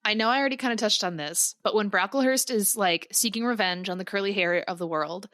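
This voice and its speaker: A woman co-hosting a podcast in a casual chat, retelling scenes in an animated way. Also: mid-range voice, quick pace, dry recording, clean studio sound. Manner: animated retelling with acted-out quotes. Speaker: podcast co-host. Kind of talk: casual chat reviewing a screen adaptation.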